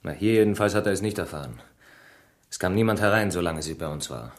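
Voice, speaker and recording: A man's voice: sits low, lively intonation, fast talker. An actor in a German radio play, playing a bitter, evasive young village man with a crippled leg, curt and defensive. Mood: guarded.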